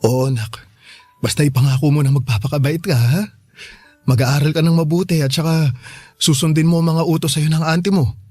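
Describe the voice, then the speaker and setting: Guy playing filipino dad in a radio drama, mellow n husky, tender, sorry, kinda pleading. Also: fairly high pitch, steady tone, brisk, dry recording, natural voice. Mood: tender, regretful. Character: loving, apologetic Filipino migrant-worker father.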